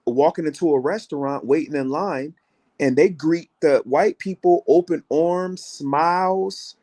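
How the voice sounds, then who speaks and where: A man's voice: a little high, a little slow, mellow. An American man co-hosting a casual call-in show, building his point through repeated rhetorical questions. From United States. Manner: builds an argument with repeated rhetorical questions and acts out other people's voices. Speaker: travel show co-host.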